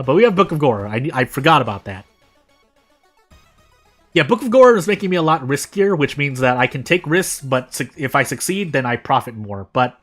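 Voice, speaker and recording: American man thinking aloud over gameplay. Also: fairly bright tone. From United States. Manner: thinks aloud, with frequent restarts and sudden pitch jumps. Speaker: streamer. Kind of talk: casual gameplay commentary. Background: faint game music.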